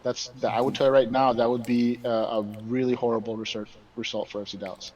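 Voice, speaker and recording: A man's voice: mid-range voice, little pitch movement, fast, darkish tone. A man co-hosting a casual soccer podcast, talking emphatically and repeating words as he stumbles through his points. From Mexican background. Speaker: podcast co-host. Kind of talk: casual sports chat. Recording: dull distant remote audio.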